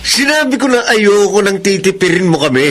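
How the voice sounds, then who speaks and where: A bossy, selfish husband in a Filipino radio soap. He speaks brusquely in a somewhat high voice, with faint background noise. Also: sing-song, a little fast. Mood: irritated, bossy. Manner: brusque, commanding. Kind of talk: Filipino radio drama acting. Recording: slightly noisy radio drama ambience.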